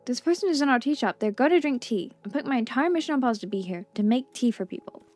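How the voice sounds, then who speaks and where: A woman's voice: fairly high pitch, animated, quick pace, darkish tone, soft background music, lightly acted. A woman voicing an intense, rule-bound, easily exasperated female video game character with an emphatic, insistent manner. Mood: indignant, determined. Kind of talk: video game dialogue read aloud.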